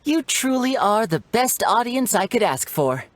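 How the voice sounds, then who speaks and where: A woman's voice: fairly high pitch, very animated, a little slow, slight background noise. A woman playing a playful young stage magician in a video game, charming, teasing and showy in delivery. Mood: theatrical, warm. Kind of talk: scripted video game character dialogue. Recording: music behind the voice.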